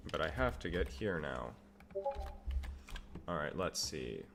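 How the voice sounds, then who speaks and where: Low-voiced young man streaming casually. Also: big pitch swings, slow, creaky, a little hiss or hum. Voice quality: says he has a loud voice. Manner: rambling, answers chat questions, self-amused. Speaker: game developer streamer. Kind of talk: casual live-stream chat while working.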